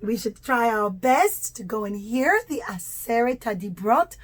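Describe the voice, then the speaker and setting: A woman with a high voice speaks as a storyteller and religious teacher for young children. She keeps her wording simple and her delivery expressive, leaning on key words and stretching them out. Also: a little slow.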